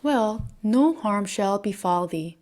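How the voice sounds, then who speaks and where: A woman's voice: mid-range voice, animated, takes it slowly, a little mellow. Woman reading as a formal, commanding storybook beast. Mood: stern, formal. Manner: archaic, stately wording. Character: formal, commanding male beast of an enchanted house.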